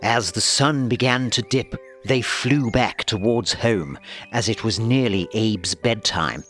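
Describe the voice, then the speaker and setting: A man reading a children's story as narrator, with expressive scripted delivery. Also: lowish voice, slow. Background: music.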